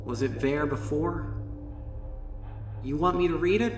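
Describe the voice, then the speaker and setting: A man's voice: on the high side, a little slow, voice pushed up, close to an everyday voice. A man acting a distraught, bitterly indignant unicorn student. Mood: bitter, accusing. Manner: stammering, pleading, bitterly indignant outbursts. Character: distraught young female unicorn student, traumatized, defiant under interrogation. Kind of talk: dramatic first-person horror monologue. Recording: roomy, distant voice over music.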